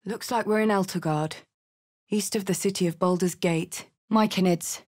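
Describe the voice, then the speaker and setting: A woman's voice: mid-range voice, steady tone, moderate pace, a little mellow, natural voice. A woman playing a brash, hearty, battle-hardened tiefling warrior woman in a video game, with a blunt, salty way of talking. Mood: gruff, observant. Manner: blunt, salty, coarse-mouthed. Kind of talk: video game character voice lines.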